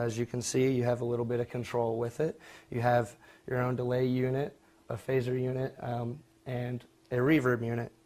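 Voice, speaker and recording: A man's voice: mid-range voice, darkish tone. A young man giving an informal student project presentation, speaking a little hesitantly and correcting himself as he goes. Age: young adult.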